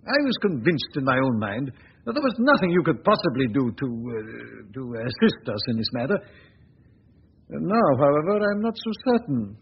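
An actor playing a hesitant, flustered police chief who keeps saying "uh" and clearing his throat, in 1940s radio acting. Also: on the high side, sing-song, moderate pace, exaggerated voice. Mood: worked-up. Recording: dull lo-fi 1940s radio recording.

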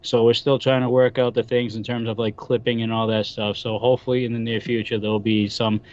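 A man's voice: medium pitch, flat delivery, a little fast, darkish tone. A man with a Boston accent chatting casually as a podcast guest and plugging his pages. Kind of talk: casual chat and plugs. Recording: dry but slightly dull audio.

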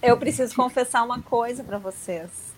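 High-voiced woman guest from southern Brazil. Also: moderate pace, a little hiss or hum. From Rio Grande do Sul, Brazil. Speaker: guest, businesswoman. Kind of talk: casual interview talk.

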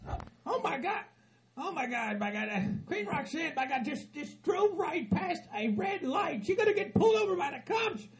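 A man playing a frantic aging hillbilly husband with a Southern hillbilly accent, who stammers, rambles and laughs through his words while yelling and cussing. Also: big pitch swings, moderate pace. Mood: panicked. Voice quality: high-pitched and gravelly. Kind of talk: comedy sketch.

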